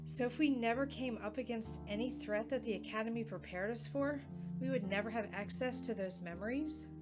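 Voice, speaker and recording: A woman's voice: on the high side, fairly quick, loud music behind the voice, close to an everyday voice. A woman playing a curious young academy recruit in an audio drama.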